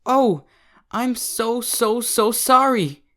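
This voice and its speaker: A narrator voicing a shy, excitable young pegasus filly in a children's cartoon fan story. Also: mid-range voice, drawn-out words, pitched above the performer's own voice. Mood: flustered, apologetic.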